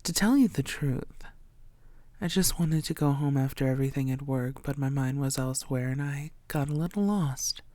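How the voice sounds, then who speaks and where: A woman playing a coy, secretive vampire who poses as a tired blood bank worker, speaking softly and playfully in an intimate audio roleplay. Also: rumbling low, normal speed, no echo. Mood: weary, sheepish. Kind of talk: intimate scripted audio roleplay addressed to the listener.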